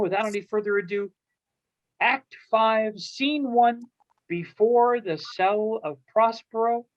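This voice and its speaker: A woman hosts a play reading with courteous, theatrical introductions. The voice sits at a medium pitch, and the online call audio is a little dull. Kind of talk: introducing participants, announcing scenes.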